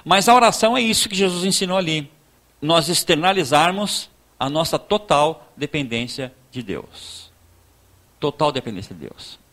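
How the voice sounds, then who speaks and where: A man with a southern Brazilian accent from Rio Grande do Sul, preaching informally in a conversational, halting storytelling way, repeating words and acting out dialogue. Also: on the high side, expressive, a bit bright. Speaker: pastor giving a talk. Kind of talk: informal sermon with personal testimony.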